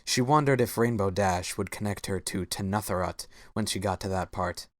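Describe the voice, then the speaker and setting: A man's voice: low voice, moderate pace, no room sound. A man narrating a scripted story as a dramatic reading.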